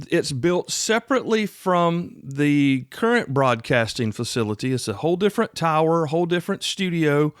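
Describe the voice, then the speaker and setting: A man's voice: a little high, clean tone, dry recording. Man hosting a podcast, dry close mic. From South Carolina, United States. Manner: folksy, conversational, rambling with asides. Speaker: podcast host. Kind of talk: casual solo podcast talk. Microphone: close podcast microphone.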